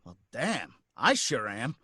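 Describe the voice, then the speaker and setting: A plain-spoken working-class cabbie, acted by a man, full of slang and suspicion. His voice is bright and bounces up and down a lot. The sound is close and dry. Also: mid-range voice, huge pitch swings, slow, breathy edge, no echo, full character voice. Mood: suspicious. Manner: folksy slang, suspicious, blunt. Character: wary blunt male taxi driver, working-class. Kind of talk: live radio drama reading.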